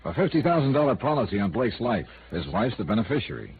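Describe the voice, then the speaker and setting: An actor playing a tough, probing insurance investigator in a radio noir drama, with a sardonic, clipped way of questioning. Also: male voice, medium pitch, very animated, fast. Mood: probing, skeptical. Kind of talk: 1950s radio noir acting. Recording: tinny, noisy old radio recording.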